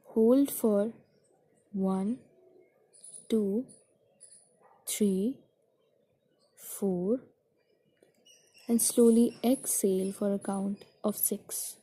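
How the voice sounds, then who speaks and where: Soft, breathy, mellow woman guiding meditation. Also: medium pitch. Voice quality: breathy, soft, trailing into whispers. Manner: soothing, gentle, deliberate with long pauses. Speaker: meditation guide. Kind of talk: guided meditation narration.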